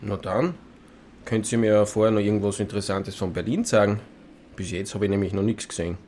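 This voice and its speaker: A man's voice: on the low side, fairly even intonation, fairly quick, a little mellow, close to an everyday voice. A man playing an easygoing, common-sense alpine nature boy with an Austrian accent in a German radio play, laconic and down-to-earth.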